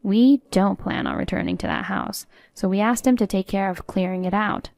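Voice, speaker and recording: A woman's voice: mid-range voice, moderate pace, gravelly, a little mellow, dry recording, studio quality, natural voice. A woman playing a defiant, sarcastic adult daughter-in-law in a story, composed and matter-of-fact.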